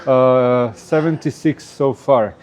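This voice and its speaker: Croatian-accented man, mellow voice. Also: mid-range voice, slow. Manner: hesitant, many uh fillers, non-native phrasing. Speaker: guest. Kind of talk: casual interview answers.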